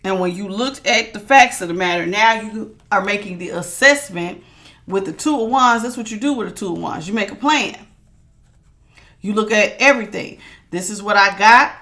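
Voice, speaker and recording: A woman's voice: mid-range voice, normal speed, sharp tone. A woman giving a casual tarot reading to her viewers, with a strong, projecting voice and an emphatic, authoritative way of talking that repeats phrases for stress. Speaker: tarot reader.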